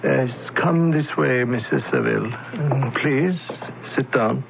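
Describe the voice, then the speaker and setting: A smooth, soothing company man. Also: mid-range voice. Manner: soothing, measured, hesitant. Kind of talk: 1970s radio drama acting. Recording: tinny lo-fi radio broadcast, faint hiss.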